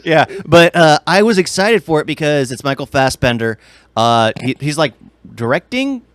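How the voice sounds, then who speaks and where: A man's voice: on the high side, big pitch swings, moderate pace, dry recording. A man chatting casually as a podcast co-host about game movies, explaining things in a rambling way.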